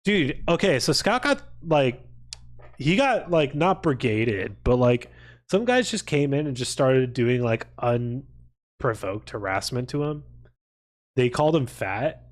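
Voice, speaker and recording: A man's voice: medium pitch, big pitch swings, slow pace. A man hosting a casual livestream, rambling along and swearing freely. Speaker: livestream host.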